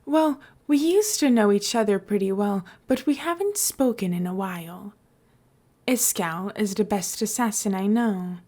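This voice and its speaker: Woman acting glib male mayor, theatrical scheming storybook character, playful, nonchalant, swooping pitch. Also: animated, warm tone. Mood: casual, assured. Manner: nonchalant, playful, drawn-out emphatic delivery.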